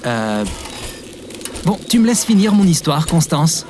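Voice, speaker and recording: An actor playing an easygoing, forgetful, cheerful young barista in an audio drama, with a casual, good-natured manner. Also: male voice, a little high, very animated, unhurried, noisy background. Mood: defensive. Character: Timo, easygoing, forgetful, cheerful young male barista telling his past. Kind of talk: audio drama acting and informal storytelling.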